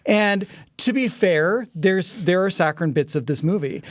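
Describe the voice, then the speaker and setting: A middle-aged man as a podcast guest, talking casually and thoughtfully about film in a halting, reflective way. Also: high-pitched, lively intonation, no echo, clean studio sound. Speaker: podcast guest, audio drama producer.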